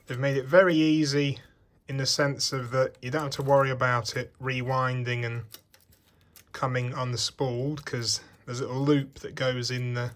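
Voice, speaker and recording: A man's voice: mid-range voice, level tone, normal speed, fairly bright tone. A man casually demonstrating a vintage tape machine at his workbench, explaining its parts in a chatty, thinking-aloud way. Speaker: vintage electronics hobbyist. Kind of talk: casual hands-on product demonstration. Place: home workbench.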